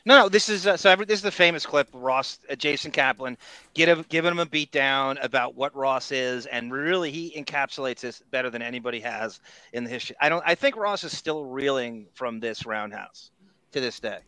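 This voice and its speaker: A man co-hosting a casual podcast, reacting excitedly to clips and swearing freely. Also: mid-range voice, brisk, smooth, no room sound, rough recording. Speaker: podcast co-host.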